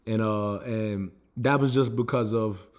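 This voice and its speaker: A man's voice: on the low side, a little slow. A young American man vlogging about his own setbacks in a loose, casual way, with lots of "you know what I mean" and "like". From United States. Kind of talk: casual personal storytelling.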